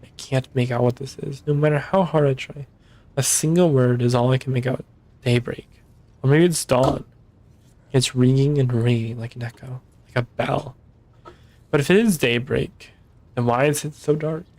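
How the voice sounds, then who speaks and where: A man with a tired, hoarse voice voicing a troubled young woman psychic, reading her lines in an anxious, rambling way. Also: mid-range voice, fairly even intonation, normal speed, darkish tone, no room sound, close to an everyday voice.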